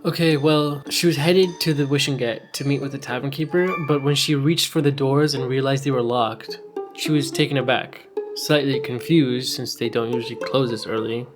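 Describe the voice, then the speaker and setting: A man with a mid-pitched voice co-narrates a fantasy tale as if chatting with a friend. His delivery is dry and bantering, and guitar music plays clearly underneath. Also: steady tone, moderate pace. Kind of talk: conversational scripted story narration. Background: plucked guitar music.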